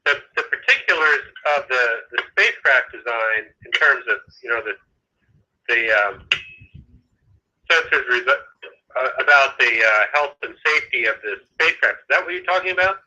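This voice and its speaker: A man on a phone call explaining spacecraft details, halting and restarting as he talks. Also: medium pitch, lively intonation, bright, forceful tone. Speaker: satellite mission staff on a phone call. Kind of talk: phone conversation. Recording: tinny phone line.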